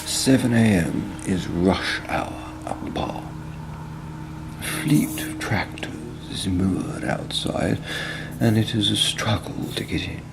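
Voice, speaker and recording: A man reading literary fiction as a disconsolate, dissolute old drinker, halting and deliberate, with a gravelly, breathy edge. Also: deep voice, heavily acted. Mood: wry, disconsolate. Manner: slow, halting, deliberate with long pauses. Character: disconsolate, dissolute, low-living old village drinker narrating. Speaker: author reading own short story. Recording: clear outdoor ambience and vehicle noise. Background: field recordings of ambience and vehicles.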